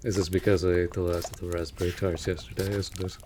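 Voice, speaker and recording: A man's voice: sits low, little pitch movement, fast talker, lightly acted. A man playing a wry, put-upon winged animal familiar in a fantasy audio roleplay, with teasing, dramatic, formal diction. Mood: indignant, grumbling. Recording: clear background noise.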